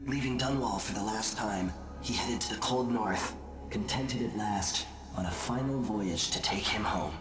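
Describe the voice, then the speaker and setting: An actor playing an enigmatic, detached storyteller, narrating a video game epilogue in a measured, solemn manner. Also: male voice, fairly low pitch, close to an everyday voice. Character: enigmatic, detached male storyteller of an empire's fate. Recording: roomy echo, music behind.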